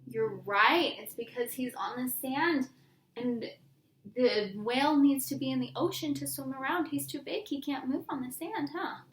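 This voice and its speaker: High-voiced woman reading to children, roomy sound. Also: slight background noise. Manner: expressive, sing-song reading, pausing to ask simple questions. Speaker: read-aloud storyteller for young children. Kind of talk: children's picture book read-aloud with questions to listeners.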